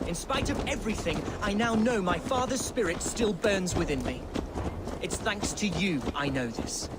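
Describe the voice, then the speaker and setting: A man's voice: high-pitched, expressive, moderate pace. A man playing an earnest, unsure young Anglo-Saxon nobleman in a video game, somewhat hesitant in manner. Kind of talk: video game cutscene dialogue. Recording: clear outdoor ambient noise behind.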